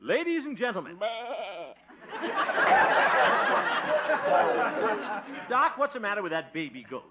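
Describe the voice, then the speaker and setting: A blustery bumbling candidate. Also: male voice, high voice, very animated, moderate pace, dull audio, over a noisy crowd. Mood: orating. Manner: blustery, hearty laughter. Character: bumbling, good-natured male mayoral candidate. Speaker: lead actor. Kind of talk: 1950s radio comedy acting. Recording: hissy restored 1950 radio recording.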